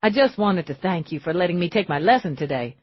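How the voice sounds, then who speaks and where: A woman's voice: on the low side, expressive, fairly quick, slightly rough, no room sound. A woman voicing a sly, boyish young man who is charming and ingratiating, in a dull lo-fi audiobook recording. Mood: eager.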